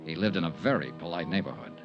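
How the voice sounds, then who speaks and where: An actor playing a tough, wry insurance investigator in a 1950s radio drama, clipped and sardonic. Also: male voice, sits low, expressive, fast talker. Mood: matter-of-fact narrating. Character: tough, wry male insurance investigator, cool under threat. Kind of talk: 1950s radio detective drama acting with first-person narration. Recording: muffled 1950s radio recording, music.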